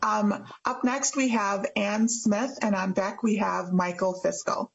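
Woman chair, husky, brisk procedural calls, dull distant sound. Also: mid-range voice, fairly even intonation. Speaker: committee chair. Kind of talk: hearing moderation.

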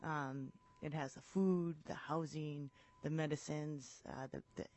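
A legislator from Minnesota giving a brisk, matter-of-fact introduction at a committee hearing. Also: medium pitch, steady tone, darkish tone. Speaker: state representative.

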